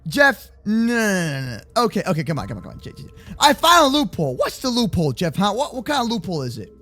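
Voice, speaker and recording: A very high-voiced young man plays through a kids' gaming roleplay. His delivery is bright and animated, full of exclamations and slang. Faint music sits behind him. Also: fast. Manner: exclamatory, slangy, often shouting, playful. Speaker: host of a gaming roleplay channel. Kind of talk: excitable children's gaming roleplay commentary.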